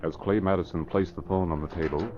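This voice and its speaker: A man's voice: sits low, steady tone, fast, noisy background, natural voice. A man narrating a radio mystery dramatically, in scripted 1940s style. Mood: dramatic. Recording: muffled old radio recording.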